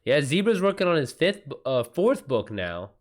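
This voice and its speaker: A man's voice: on the high side, sing-song, normal speed. A man hosting a livestream in a casual, informal way, chatting with viewers in slangy, sweary language. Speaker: livestream host, author taking writing questions. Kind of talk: casual livestream chat, reading and answering messages.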